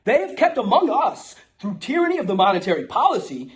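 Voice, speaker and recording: A man's voice: extremely high pitch, big pitch swings, a little fast. Man playing a brash Bronx guy, New York accent. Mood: mock-solemn, declaiming. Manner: brash, streetwise, swearing. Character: Jimmy Da'Bronx, brash foul-mouthed Bronx guy. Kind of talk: casual ranting and mock-solemn declaration reading.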